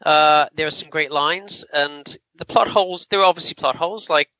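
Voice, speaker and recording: A man's voice: on the high side, moderate pace, bright, forceful tone, no room sound, dull audio. A man co-hosting a podcast, giving opinions in casual, conversational review chat. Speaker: podcast co-host.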